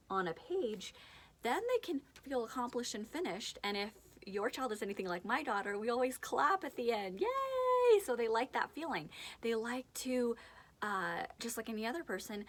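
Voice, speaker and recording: A woman in her thirties speaks as a parent sharing practical advice in an informal instructional style. Her delivery is chatty and expressive, and she likes to act out little lines as she explains. Her voice sits at an average pitch for a woman. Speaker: parent giving book tips. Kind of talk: informal instructional talk to camera.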